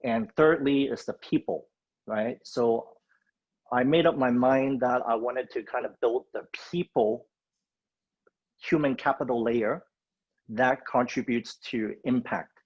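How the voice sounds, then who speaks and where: A man from Hong Kong gives a relaxed, filler-laden guest talk over a video call. His voice sits at an ordinary pitch, and the sound is dull and lo-fi. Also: a little slow, slightly rough. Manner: loose and conversational, many fillers. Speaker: guest speaker. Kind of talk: webinar presentation.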